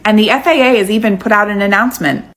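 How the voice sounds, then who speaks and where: A woman delivering an informative social media news update in a brisk reporting manner. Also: mid-range voice, a bit bright.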